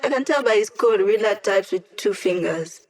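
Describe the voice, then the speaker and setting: A woman plays a sly young hacker who teases her team with wry one-liners. Her voice sits at an ordinary middle pitch, and she keeps the banter light and knowing. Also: animated, darkish tone, close to an everyday voice. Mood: teasing. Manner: wry, teasing, quick quips. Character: witty young female hacker, playful and sly. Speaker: hacker support character. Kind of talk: video game voice lines.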